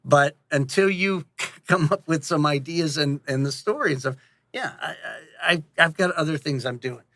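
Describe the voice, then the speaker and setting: Guy with a higher bright voice, rambly and jokey, casual interview chat, very dry sound. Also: normal speed, dry recording. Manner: animated, rambling, jokey, self-interrupting, acts out quotes; casually profane. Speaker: interview guest, audio drama director and producer.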